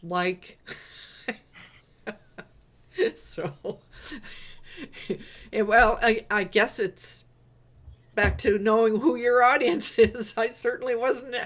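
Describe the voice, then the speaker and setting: A woman from Canada with an East Coast accent, hosting a casual live-stream discussion, unhurried and laughing often. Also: on the high side, fairly even intonation. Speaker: host.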